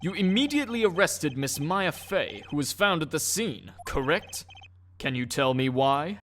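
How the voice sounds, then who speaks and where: Man playing self-assured game prosecutor, fairly high voice, animated intonation, formal, pompous, music behind. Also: moderate pace, slight background noise. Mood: questioning. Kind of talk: game fandub character acting.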